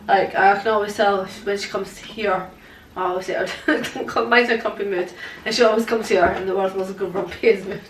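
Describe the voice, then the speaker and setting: A woman's voice: mid-range voice, steady tone, moderate pace, far from the mic, slight background noise. Young woman, hesitant, in an echoey room. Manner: hesitant, lots of fillers. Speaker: guest, paranormal investigator. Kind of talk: casual interview answers. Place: living room, indoors, on a sofa. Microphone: far camera.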